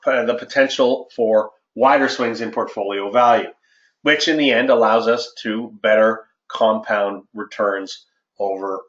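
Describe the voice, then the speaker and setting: A roomy, slightly dull conference-call recording of a man with a mid-range voice. He talks clients through a presentation as a financial advisor, haltingly, often doubling back on his words. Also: medium pitch. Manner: halting, hesitant delivery with repeated words. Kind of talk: informal slide presentation to clients. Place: indoor room on a conference call.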